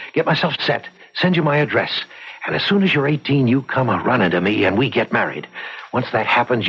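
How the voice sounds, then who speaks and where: Husky, cocky young drifter. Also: male voice, medium pitch, exaggerated voice. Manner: slangy, insolent, sweet-talking. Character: cocky young drifter, smooth-talking conniving male lover. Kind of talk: old-time radio drama acting. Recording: muffled old radio drama recording.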